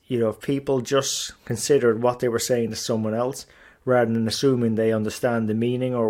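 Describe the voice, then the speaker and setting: A man's voice: medium pitch, darkish tone. A middle-aged man from Ireland and England, hosting a solo podcast in a chatty, self-deprecating way with many fillers and restarts. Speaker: podcast host.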